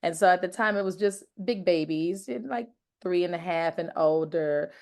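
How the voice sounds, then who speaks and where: A woman from Michigan speaks as a podcast guest with a fairly low voice. She tells her story in a friendly, easygoing way. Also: normal speed, a little mellow. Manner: friendly, laughs lightly while telling her story. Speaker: interview guest, child care owner. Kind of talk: casual podcast interview.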